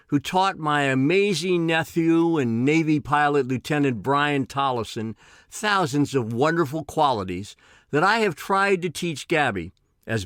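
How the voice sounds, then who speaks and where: Higher-pitched man in his sixties, reading aloud. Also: fairly bright tone, no echo, clean studio sound. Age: 60s. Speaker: essayist reading own work. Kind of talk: reading a personal essay aloud.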